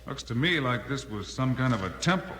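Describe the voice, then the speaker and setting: A man's voice: medium pitch, expressive, a little fast, fairly bright tone, natural voice. Male actor as decisive western lawman, American accent, commanding, level-headed, long reverb, noisy vintage radio recording. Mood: resolute. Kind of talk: 1950s radio western acting.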